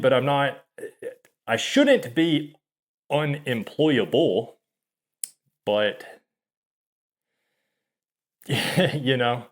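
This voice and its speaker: Slow, halting man in his thirties. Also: medium pitch. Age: 30s. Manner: halting and hesitant, many fillers and restarts. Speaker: channel host. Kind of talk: confessional monologue to camera.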